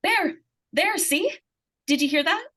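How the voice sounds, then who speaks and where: A woman's voice: extremely high pitch, lively intonation, a little slow, heavy background noise. A woman playing an earnest, determined young schoolgirl who tells her story in plain, insistent words in an old-time radio suspense drama. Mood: eager, coaxing.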